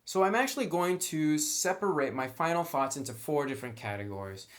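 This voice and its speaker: A man's voice: a little high, animated, brisk, soft background music. A man giving a casual, opinionated review as a tabletop game reviewer, talking in an informal, conversational way.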